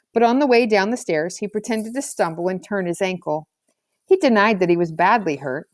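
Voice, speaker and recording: A woman's voice: fairly low pitch, darkish tone, no room sound, studio quality. A woman reading a children's novel aloud as scripted narration. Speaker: audiobook reader.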